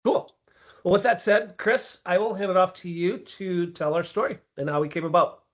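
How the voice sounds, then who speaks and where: A man's voice: high voice, fairly even intonation, moderate pace, muffled recording. A man with a scratchy voice from a cold, presenting casually on a business webinar with frequent ums. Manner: conversational, with frequent ums and restarts. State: hoarse from a cold. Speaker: sales presenter. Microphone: video call.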